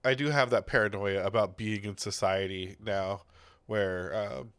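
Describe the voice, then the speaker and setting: Man, stuffy head cold, lowish voice, dry recording, casual podcast chat, halting and self-deprecating. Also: steady tone, fairly bright tone, clean tone, no echo. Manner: self-deprecating, rambling with many restarts. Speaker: podcast co-host.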